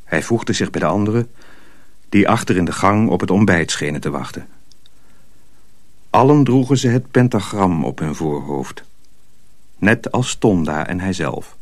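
A man's voice: low voice, lively intonation, measured pace, pauses often, dry recording. A man narrating a radio drama as a storyteller, reading scripted prose dramatically.

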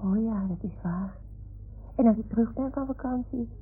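A woman's voice: on the high side, normal speed, husky. A woman voicing a naive, chatty toy dog in a radio play, with a childlike, questioning manner that seeks approval. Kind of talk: 1960s-70s Dutch radio drama acting. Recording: muffled, phone-like old radio recording.

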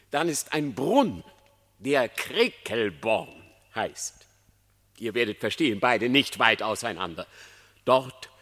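A wily, silver-tongued fox pleads in radio-play verse. The voice is sharp and forceful, with ingratiating, declamatory delivery. It rings in a reverberant space. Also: male voice, mid-range voice, exaggerated voice. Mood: fawning, urgent. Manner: theatrical, persuasive, declamatory. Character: sly, eloquent, ingratiating male fox on trial. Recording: reverberant studio sound.

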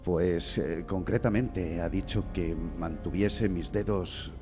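Here is a patient, tactful doctor in a radio drama. His voice is low, with music clearly playing underneath. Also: takes it slowly. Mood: hesitant, tactful.

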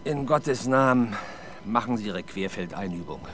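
Weary, sardonic police inspector with lively intonation played by a man. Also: fairly low pitch, normal speed, lightly acted. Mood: weary, grumbling. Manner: grumbling, dryly ironic. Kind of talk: 1970s German radio crime drama acting. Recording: faint background noise.

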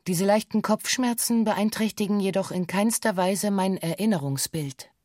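An actress playing a female witness in a radio play, reciting a police statement in a stiff, measured, matter-of-fact way. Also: mid-range voice, a little mellow, no room sound, studio quality, close to an everyday voice. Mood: formal, composed. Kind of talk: radio play, formal recited testimony in bureaucratic German.

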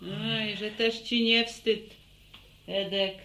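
A village mother in a radio drama, scolding and teasing. Her delivery is slow and sharp. It is heard in an echoey space with faint noise behind. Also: mid-range voice, fairly even intonation, exaggerated voice. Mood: scolding, mocking. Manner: nagging, teasing. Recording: echoey room with background noise.

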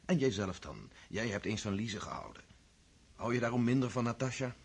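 Very husky reassuring friend. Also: male voice, medium pitch, animated, fairly quick. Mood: reassuring, urging. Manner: firm, encouraging. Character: hearty, reassuring male friend urging marriage. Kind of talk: radio play acting.

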